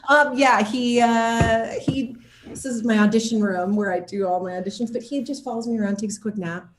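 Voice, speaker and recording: A woman's voice: a little high, steady tone, moderate pace, noisy background. Bubbly, giggly woman in an echoey room. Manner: bubbly and giggly, uses playful slang. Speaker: actor being interviewed. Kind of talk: casual chat in a video interview. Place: home audition room.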